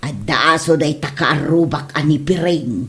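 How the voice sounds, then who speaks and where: A woman's voice: low voice, normal speed, a bit bright. A woman with an Ilocano accent playing a gossipy, grumbling neighbour wife in an amateur comedy drama.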